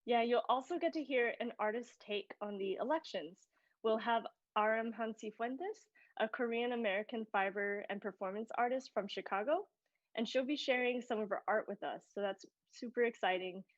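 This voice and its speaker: A woman's voice: fairly high pitch, moderate pace, no room sound. A woman co-hosting an activist live stream, reading an upbeat script. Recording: dry, muffled audio.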